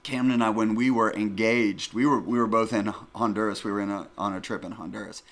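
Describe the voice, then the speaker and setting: A middle-aged man from the northern United States in casual conversation, telling stories in an animated, emphatic way and repeating phrases for effect. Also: fairly low pitch, quick pace.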